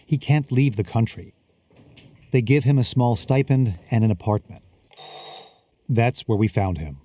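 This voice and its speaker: A man's voice: medium pitch, measured pace, warm tone, a little hiss or hum. A man working as a reporter, reading scripted narration for a documentary. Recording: music under the voice. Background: background music.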